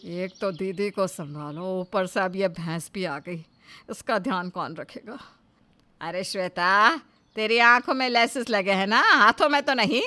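A woman's voice: medium pitch, unhurried, bright, forceful tone, slight background noise. A woman voicing a fretful, nagging middle-aged mother-in-law in a cartoon family story, with theatrical delivery. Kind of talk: animated family story acting.

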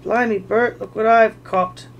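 A reader doing a gruff grumbling troll. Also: mid-range voice, fairly even intonation, measured pace, highs cut off, a little hiss or hum, lightly acted.

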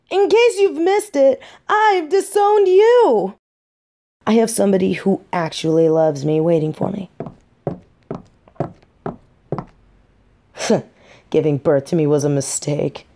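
Audio roleplay: young female biker played by an actress, loyal and mouthy, soft dark timbre, measured dramatic delivery. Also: on the low side, gravelly. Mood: coldly smug. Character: defiant, foul-mouthed young biker girlfriend, fiercely loyal. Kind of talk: scripted audio roleplay acting.

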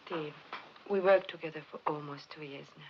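A woman's voice: low-pitched, steady tone, normal speed, natural voice. A woman acting an earnest, caring scientist in a 1950s film drama. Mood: gentle, probing. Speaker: film actress. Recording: dull, hissy vintage film soundtrack.

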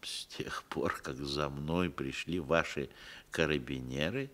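An actor playing a wily, haughty Sicilian mafia boss in a 1960s radio drama, deliberate, evasive and sardonic. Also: male voice, extremely low pitch, a bit bright, exaggerated voice. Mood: guarded, sardonic.

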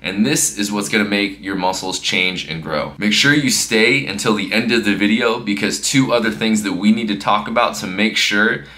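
A man's voice: on the low side, moderate pace, hardly any pauses, pushed and bright. A man in his thirties giving casual workout instruction in an upbeat coaching tone, from a living room and then a gym. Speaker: fitness vlogger.

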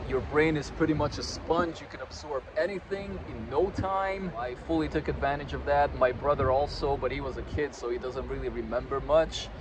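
A man's voice: medium pitch, moderate pace, few pauses. A man in his thirties from Croatia, chatting casually as a guest at a park table, with lots of fillers and self-deprecating asides. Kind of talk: casual conversation. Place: park table outdoors on a sunny day. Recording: outdoor traffic noise.

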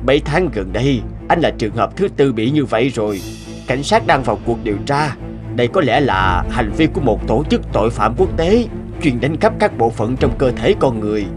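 A man's voice: mid-range voice, big pitch swings, fairly quick, over music, natural voice. A man voicing a middle-aged hospital doctor in a cartoon, explaining things bluntly. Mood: grave.

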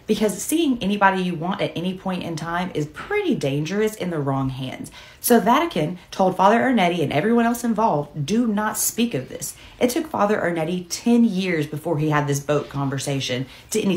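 A woman in her thirties telling a story as a casual explainer, with emphatic, dramatic delivery. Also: on the low side.

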